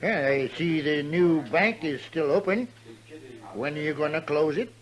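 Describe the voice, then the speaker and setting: A man's voice: a little high, measured pace, natural voice. An actor playing a pompous old American war veteran in a 1950s radio western, blustering and stammering as he boasts. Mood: haughty. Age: older. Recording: old radio recording, saloon crowd behind.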